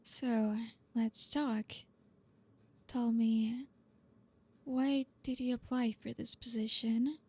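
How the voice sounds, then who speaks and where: A woman's voice: fairly high pitch, steady tone, slow, pauses often, a little mellow. A woman playing a flirty, teasing, dominant boss in an ASMR roleplay, speaking breathily and often slipping into a whisper. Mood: teasing, coaxing.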